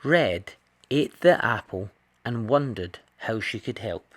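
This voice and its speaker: A man narrating a children's picture book in an expressive read-aloud style. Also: fairly low pitch, slow. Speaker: storybook reader.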